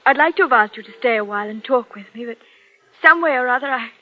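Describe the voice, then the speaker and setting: An actress playing a shy, lonely young bookshop lady, gentle and hesitant, in old-time radio drama style. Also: on the high side, fast talker, lightly acted. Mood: wistful. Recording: dull vintage radio drama recording.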